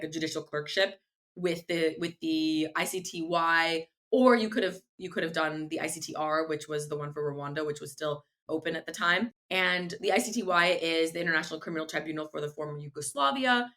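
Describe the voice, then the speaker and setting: A woman's voice: low voice, brisk, fairly bright tone. A woman whose first language is Farsi, answering interview questions in an earnest, thoughtful way with frequent restarts. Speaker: guest, legal tech founder.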